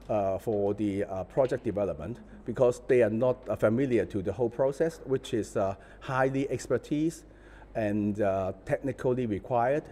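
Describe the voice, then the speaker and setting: Reverberant, mellow man speaking haltingly. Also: medium pitch. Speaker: lawmaker interviewee. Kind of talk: interview soundbite. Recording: reverberant hall.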